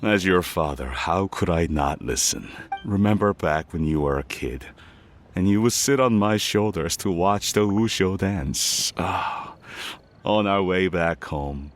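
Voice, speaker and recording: An actor voicing a fond middle-aged father in a game, reminiscing warmly. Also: low-pitched, animated, measured pace. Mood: nostalgic. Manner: warm, nostalgic. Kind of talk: game voice acting.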